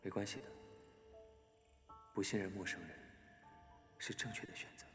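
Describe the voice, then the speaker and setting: An actor playing a gentle, restrained, quietly affectionate young man in an audio drama. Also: sits low, moderate pace. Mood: gentle reassurance. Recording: echoey reverb, faint music.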